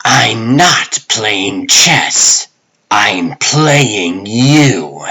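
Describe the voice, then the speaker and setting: A man playing a cold, taunting supervillain in a comic dub, speaking with deliberate menace. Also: lowish voice, big pitch swings, bright, forceful tone, slightly rough, heavily acted. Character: sinister, taunting male supervillain, cold and patient.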